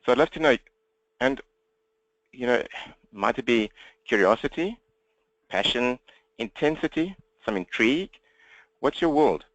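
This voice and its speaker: A South African man leads an online training session as its presenter. His voice sits at a medium pitch and is recorded close and dry. He talks quickly and plainly, putting questions to his listeners to keep them involved. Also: animated, no echo, low-quality recording. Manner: fast-talking, direct, engaging listeners with questions. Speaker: webinar presenter and coach for accountants. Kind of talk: interactive webinar presentation. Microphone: computer webinar microphone, presenter standing.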